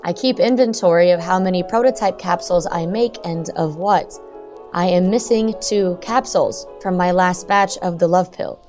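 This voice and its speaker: A woman's voice: fairly low pitch, moderate pace, darkish tone, faint music behind, close to an everyday voice. A woman playing a worried, stern middle-aged mother, careful and reproving, in an audio drama. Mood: explaining, suspicious. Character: worried, stern mother who makes pills.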